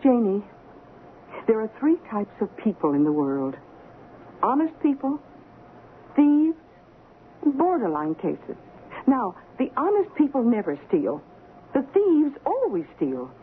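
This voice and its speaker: An actress playing a kindly confidante in a radio drama, gently explaining and reassuring. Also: female voice, a little high, wildly swooping pitch, a little slow, long pauses, phone-quality sound. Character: kindly, reassuring confidante counseling a troubled girl. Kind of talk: old-time radio drama acting. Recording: thin, noisy old radio recording.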